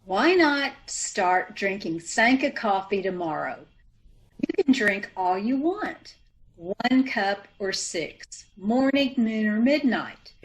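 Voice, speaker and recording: A woman's voice: medium pitch, lively intonation, takes it slowly, low-quality recording. A woman playing a reassuring announcer, reading a commercial in old-time radio style. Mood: persuasive, reassuring.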